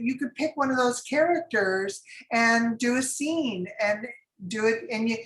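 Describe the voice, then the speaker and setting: A woman's voice: a little high, a bit bright, reverberant, poor audio. A woman casting director advising actors in a class, chatty and rambling with many fillers.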